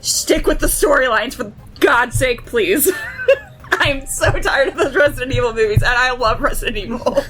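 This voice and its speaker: A woman's voice: sits high, moderate pace, a bit bright, rough recording. A woman chatting casually as a panelist in a fan discussion. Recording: faint music behind voice. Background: music.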